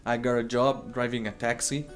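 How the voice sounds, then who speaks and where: A young man from Syria speaks English as an in plain, earnest sentences, now and then correcting himself. His voice sits at a middle pitch for a man. Soft music plays beneath him. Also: flat delivery, moderate pace. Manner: simple, earnest phrasing with small slips. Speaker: refugee interviewee. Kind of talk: personal testimony.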